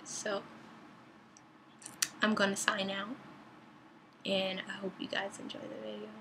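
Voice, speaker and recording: A young woman in a reflective personal vlog, speaking thoughtfully and deliberately about faith, her voice going dry and raspy. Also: medium pitch, flat delivery, noisy background. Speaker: vlogger and author.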